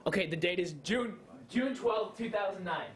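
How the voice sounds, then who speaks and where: A man talking excitedly and casually in an amateur home video. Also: high-pitched, normal speed, recorded from a distance. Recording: echoey home video, music and voices behind. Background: music and other voices.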